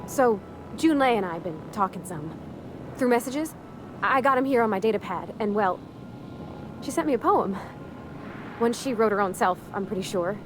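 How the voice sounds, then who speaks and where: An actress playing a sweet, shy young ship mechanic in a video game, speaking with a rural Southern American accent in a folksy, hesitant, earnest way. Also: female voice, medium pitch, expressive, moderate pace, pauses often, noisy background, close to an everyday voice. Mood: shy, hopeful. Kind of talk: video game character acting.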